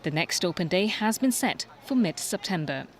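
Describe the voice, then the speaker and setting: A woman's voice: on the low side, lively intonation, moderate pace, a little hiss or hum. A woman anchor reading scripted television news.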